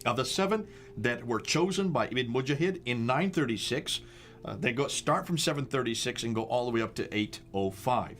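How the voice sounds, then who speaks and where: A man's voice: mid-range voice, animated, moderate pace, fairly bright tone, slight background noise. A man with an American accent hosting a discussion, walking through slides with emphatic rhetorical questions and stressed dates. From United States. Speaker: host.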